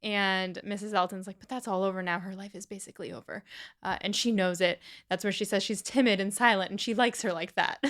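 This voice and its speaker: A woman co-hosting a podcast, chatting casually and retelling a story with animated asides. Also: mid-range voice, brisk. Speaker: podcast co-host. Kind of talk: casual book discussion.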